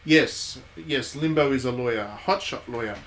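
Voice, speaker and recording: A man's voice: mid-range voice, moderate pace, fairly bright tone. A man hosting a game stream, giving casual live commentary and thinking aloud in conversational asides.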